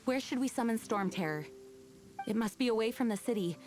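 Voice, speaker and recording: A woman's voice: on the high side, animated, a little fast, darkish tone, natural voice. A woman voicing a composed, serious young female leader in a video game. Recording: music behind the voice.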